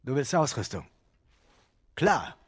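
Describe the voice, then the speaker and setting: Wary, serious adult man for game drama, mid-pitched, guarded, bluntly resentful. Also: big pitch swings, takes it slowly, husky, dry recording, full character voice. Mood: defensive, bitter. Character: wary, resentful younger brother, rugged adult male survivor. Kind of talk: dramatic video game acting.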